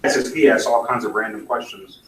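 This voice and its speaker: A man's voice: lowish voice, fairly even intonation, a little fast, fairly bright tone. A man giving a blunt, opinionated conference talk with dry asides. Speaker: conference speaker. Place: conference hall. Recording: echoey hall, mic from far off, faint noise.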